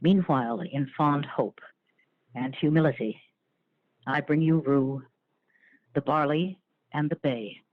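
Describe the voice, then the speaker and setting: A man's voice: fairly high pitch, slow, long pauses, dry recording. A man reciting poetry as an actor, with a solemn, incantatory dramatic delivery. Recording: dry, narrow phone-like sound.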